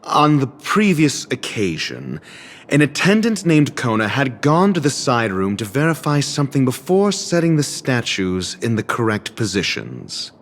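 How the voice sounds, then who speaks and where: An actor playing a haughty, erudite scholar in a video game, speaking in ornate formal phrases with dry, condescending sarcasm. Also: male voice, mid-range voice, wildly swooping pitch, a little slow, heavily acted. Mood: impatient, instructive. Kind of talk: video game cutscene voice acting. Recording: background music under studio game dialogue.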